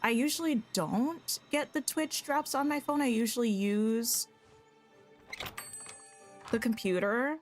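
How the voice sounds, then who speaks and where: A woman's voice: a little high, takes it slowly, pauses often, faint music behind. A woman live-streaming a game, chatting casually and answering her viewers, her voice slightly scratchy. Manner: chatty, greets and answers viewers, thinks aloud. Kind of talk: casual live-stream chat while playing.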